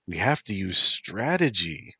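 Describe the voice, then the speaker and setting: A man's voice: deep voice, very animated, a little slow, bright, forceful tone, husky, no echo, heavily acted. A man voicing a frightened, whiny young man who pleads and whimpers. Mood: aggrieved.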